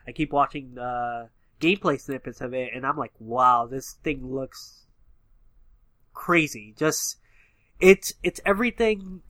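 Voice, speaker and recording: A man's voice: mid-range voice, a little slow, a bit bright, dry recording. A man with a slightly nasal, stuffy-nosed voice, chatting casually about video games as a podcast co-host, rambling with lots of fillers. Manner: rambling, filler-heavy, enthusiastic. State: stuffy nose. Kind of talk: casual gaming chat.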